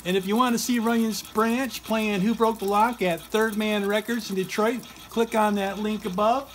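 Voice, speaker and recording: Higher-voiced man, noisy home recording. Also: fairly even intonation, normal speed. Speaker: host, band musician. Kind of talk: casual talk to camera introducing old tapes. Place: small furnished home music room. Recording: noticeable background noise.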